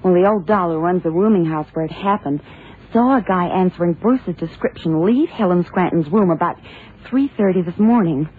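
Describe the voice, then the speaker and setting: An actress playing a detective's worried, loyal secretary in a radio drama, earnest and hesitant with a husky voice. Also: female voice, fairly low pitch, normal speed. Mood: worried, defensive. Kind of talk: 1960s-70s radio drama acting. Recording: tinny phone-like vintage radio drama.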